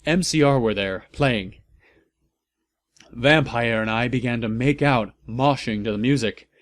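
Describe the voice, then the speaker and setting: A man narrating a story aloud as a theatrical comedic reading, with mocking asides. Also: mid-range voice. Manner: theatrical, deliberately reproducing misspellings. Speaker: narrator and host reading fan fiction aloud.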